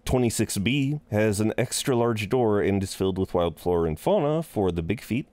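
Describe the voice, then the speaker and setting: A man playing a courteous, chatty incubus innkeeper in an intimate audio roleplay, with polite, hesitant pauses and a flirtatious streak. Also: fairly low pitch, lively intonation, darkish tone, dry recording, natural voice. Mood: courteous, amused. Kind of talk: intimate scripted roleplay acting.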